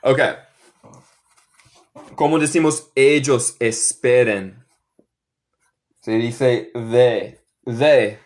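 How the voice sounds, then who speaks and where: A young man with an American Midwestern accent teaches English to Spanish speakers in a live online class. He speaks Spanish as a second language and keeps a slow, patient pace, repeating each example sentence several times so learners can follow. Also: medium pitch, darkish tone. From northern United States.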